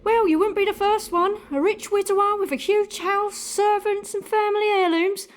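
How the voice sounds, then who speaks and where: A woman playing a brisk, shrewd servant in an audio drama, frank, probing and teasing. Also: extremely high pitch, steady tone.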